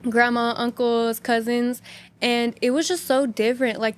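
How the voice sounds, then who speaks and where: Young woman, first language Hindi, high-ish voice, casual chatty guest, lots of fillers. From United States. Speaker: podcast guest. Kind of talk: casual interview chat.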